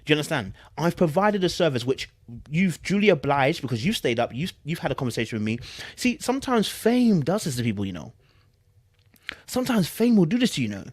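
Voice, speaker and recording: A man's voice: fairly high pitch, very animated, a little fast, a little mellow, slightly rough, no room sound. A British man doing casual online commentary, reading aloud dramatically and repeating phrases for emphasis in a teasing, incredulous way.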